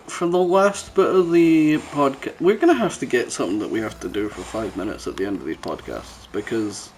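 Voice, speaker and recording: A man's voice: a little high, low-quality recording. Sweary man bantering over background noise. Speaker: podcast host. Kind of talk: casual podcast chat.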